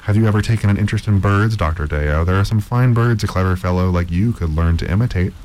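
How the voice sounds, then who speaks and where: A man's voice: low voice, very animated, normal speed, gravelly, darkish tone, full character voice. Man playing a courtly, condescending old-world professor. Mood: patronising. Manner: formal, florid, tolerantly patronising. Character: courtly, condescending visiting male Bohemian professor. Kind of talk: acted dialogue in a storybook reading.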